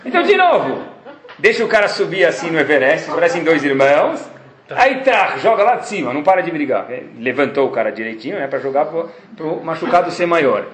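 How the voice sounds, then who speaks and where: Animated Brazilian rabbi giving a lecture. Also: male voice, on the high side, fairly quick, roomy sound. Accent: Brazilian Portuguese. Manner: animated storytelling, acts out quoted dialogue. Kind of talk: Torah class lecture.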